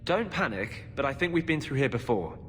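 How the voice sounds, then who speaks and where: A man's voice: mid-range voice, sing-song, a little fast, fairly bright tone. A man playing a sardonic, needling adventuring companion in a video game. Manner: dry, needling. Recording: echoing hall, music behind.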